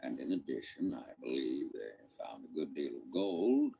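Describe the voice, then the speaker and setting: Dwarf chief, deep and stately, self-important radio drama delivery, muffled sound. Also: male voice, lively intonation, normal speed, vocal fry, warm tone, husky, exaggerated voice. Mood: proud, reminiscing. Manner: grave, declamatory, pompous. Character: proud, grave, self-important dwarf leader. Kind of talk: British radio drama acting. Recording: dull, muffled radio play recording.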